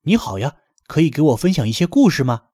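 A man doing an eager, quick-talking rabbit dad. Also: fairly high pitch, very animated, dark tone, no echo, clean studio sound, pitched above the performer's own voice. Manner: quick, earnest asking. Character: eager, polite rabbit father.

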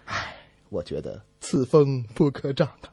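A man co-hosting a comedy radio show, delivering scripted banter with animated mock exasperation. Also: medium pitch, measured pace, creaky, husky, no room sound. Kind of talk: scripted comic banter between radio hosts.

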